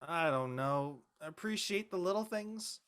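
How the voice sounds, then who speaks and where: A woman playing a cautious, easygoing high school student who talks in casual slang in a scripted drama. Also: expressive, a little slow. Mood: mellow.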